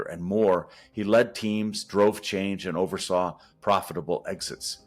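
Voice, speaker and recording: A low-pitched male podcast host reads a scripted guest introduction in a steady, announcing way. Faint music plays behind his voice.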